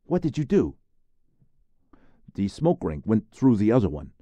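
A man voicing excited young boys on a summer outing, with an eager, raised boyish voice. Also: lowish voice, a little slow, husky, dry recording, pitched above the performer's own voice, heavily acted.

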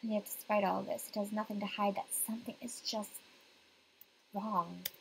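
A woman's voice: medium pitch, fairly even intonation, normal speed, gravelly, slight background noise, poor audio. A young woman reading visual novel narration aloud. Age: young adult. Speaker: game streamer reading aloud.

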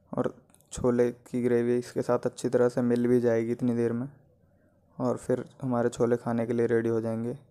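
Man with very flat pitch, cooking tutorial. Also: clean tone. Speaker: home cook presenting a recipe. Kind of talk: step-by-step cooking tutorial voice-over.

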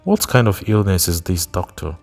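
A man voicing a worried husband who turns stern and gruff with his wife. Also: sits low, normal speed, soft background music.

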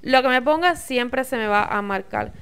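A woman's voice: sits high, animated, normal speed, a bit bright, clean tone. A young woman with a Cuban Spanish accent, narrating her vlog in a chatty, informal way. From Cuba. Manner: chatty and informal, sends kisses to viewers. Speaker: vlogger.

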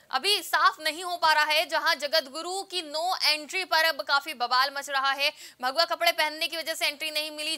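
A woman's voice: high-pitched, sharp tone. A young woman presenting the news as a studio anchor. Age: young adult.

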